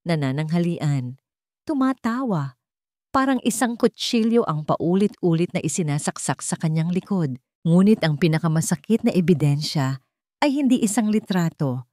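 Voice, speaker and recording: A woman's voice: lowish voice, animated, normal speed, dark tone, dry recording, clean studio sound. A woman reading a scripted dramatic story as its narrator.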